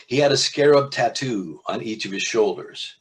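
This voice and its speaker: A man, an author, reading from his own novel in a measured literary style with informal asides. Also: mid-range voice, animated.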